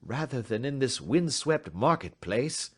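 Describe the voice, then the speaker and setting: A man voicing a sharp, self-assured gentleman detective in an audiobook, speaking in a crisp, measured, gently ironic way. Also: mid-range voice, lively intonation, voice pushed up. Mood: confident. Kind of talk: audiobook reading.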